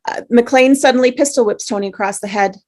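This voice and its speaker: A woman's voice: a little high, brisk, darkish tone. A woman narrator reading screenplay action and scene headings, sometimes stumbling and rereading phrases. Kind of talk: scripted narration, reading stage directions.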